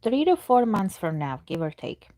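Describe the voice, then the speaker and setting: A woman's voice: medium pitch, big pitch swings, moderate pace, dark tone. A woman whose first language is Spanish, doing a chatty live tarot reading and answering questions from chat as she thinks aloud. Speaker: tarot reader.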